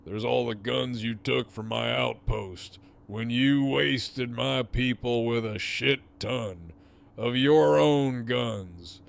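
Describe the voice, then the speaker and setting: Guy doing a smug threatening gang boss, really drawn out, gravelly, taunts everyone, audio drama villain. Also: mid-range voice. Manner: taunting, theatrical, drawn-out delivery. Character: menacing, mocking, foul-mouthed male gang leader.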